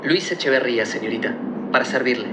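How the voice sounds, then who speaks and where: A man's voice: medium pitch, echoey room, noisy background, close to an everyday voice. A man playing a polite, hesitant, self-admiring young student in comic radio theatre. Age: young adult. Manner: formal, courteous, halting.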